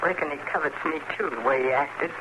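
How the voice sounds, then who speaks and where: An actress playing a grieving, stoic frontier farm widow with a rural American accent, brooding and plain-spoken in an old radio western. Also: female voice, low-pitched, a little fast, natural voice. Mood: brooding certainty. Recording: noisy old radio drama recording.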